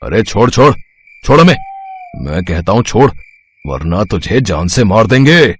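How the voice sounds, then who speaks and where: A man voicing a rough thief and kidnapper, a villain in a cartoon moral story. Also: on the low side, sing-song, a little slow, exaggerated voice. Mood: threatening. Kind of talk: Hindi animated moral story acting.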